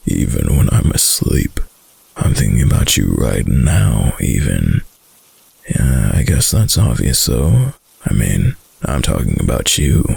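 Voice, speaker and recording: A man playing a possessive, doting young wolf-boy lover in an ASMR boyfriend roleplay, murmuring intimately with adoring dominance in a deep, breathy, gravelly voice. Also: dry recording, exaggerated voice.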